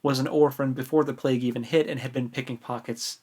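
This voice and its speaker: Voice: mid-range voice, fairly even intonation, fast, darkish tone, breathy edge. A podcast co-host with an American accent, chatting informally and with some amusement about a book. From United States. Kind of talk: casual book discussion.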